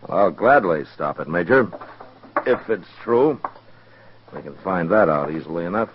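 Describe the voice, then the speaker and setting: An actor playing a dry, stubborn frontier marshal in a 1950s radio western, with a laconic, folksy delivery. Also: male voice, low voice, lively intonation, normal speed, slight background noise. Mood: businesslike. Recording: muffled, dull 1950s radio recording.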